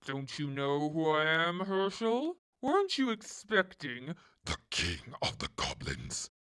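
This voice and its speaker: A woman voicing a monstrous male goblin king in a storybook reading, speaking slowly and threateningly. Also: low voice, huge pitch swings, a bit bright, pitched below the performer's own voice, full character voice. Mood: menacing. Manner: slow, looming, threatening. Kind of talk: storybook read-aloud.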